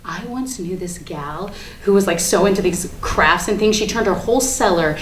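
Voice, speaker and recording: A woman playing a defiant, foul-mouthed suspect under questioning in a staged monologue, rambling in a chatty, sarcastic, halting way. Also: medium pitch, distant mic, lightly acted. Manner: chatty, sarcastic, halting, breaks into nervous laughs. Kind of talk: dramatic monologue in a staged reading. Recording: echoey room with faint background noise.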